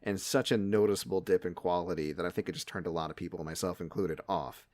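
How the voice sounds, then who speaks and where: A man hosting a podcast, chatting casually in an animated, joking way with some swearing. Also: low-pitched, quick pace, dry recording. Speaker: podcast host. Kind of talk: casual, bantering podcast chat.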